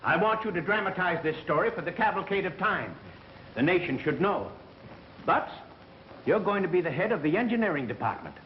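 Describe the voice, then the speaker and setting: An actor with an American accent playing an excitable, bossy radio network chief in a 1930s film, blustering and imperious. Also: male voice, high-pitched, fairly quick. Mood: commanding. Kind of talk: 1930s comic film acting. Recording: echoey, muffled, hissy 1930s film soundtrack.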